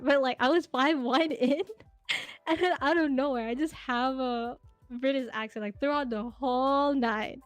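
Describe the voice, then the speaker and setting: A woman's voice: fairly high pitch, dry recording. A woman in her twenties, a podcast guest chatting casually, telling rambling stories with lots of fillers and giggles. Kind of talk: casual interview chat.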